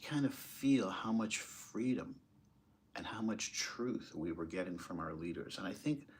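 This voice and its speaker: Deep, husky American man. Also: lively intonation. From United States, moved there as a small child. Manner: halting, emphatic, rhetorical questions. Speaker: political commentator. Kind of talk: impassioned off-the-cuff commentary.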